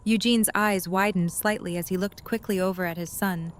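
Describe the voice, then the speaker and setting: A woman's voice: mid-range voice, fairly even intonation, moderate pace, slight background noise. A woman reading scripted third-person narration for an audio drama.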